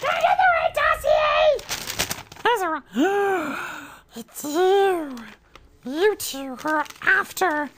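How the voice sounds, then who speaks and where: A woman's voice: very high voice, sing-song, takes it slowly, long pauses, noisy background, heavily acted. A woman playing an imperious cobra princess who guards an ancient tomb, with haughty, theatrical proclamations in a comic adventure radio play. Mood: suspicious, comic.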